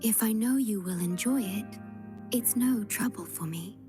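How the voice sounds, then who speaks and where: A woman playing a gentle, devoted young female guardian in a video game, with a soft, breathy voice and a measured, tender, slightly formal delivery. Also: mid-range voice, lightly acted. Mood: gentle, attentive. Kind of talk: English game dub voice acting. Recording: music playing behind the voice. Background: background music.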